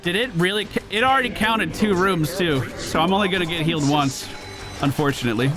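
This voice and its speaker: A man with a slightly high voice gives casual gameplay commentary. He is chatty and slangy, working through his choices aloud. Soft game music plays behind him. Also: a little fast. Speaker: gaming streamer.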